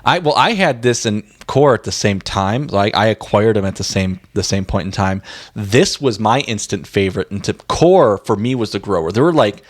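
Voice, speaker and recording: A man's voice: on the low side, expressive, normal speed. A middle-aged man co-hosting a music podcast, chatting informally and giving his opinions with emphasis. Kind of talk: casual opinionated chat.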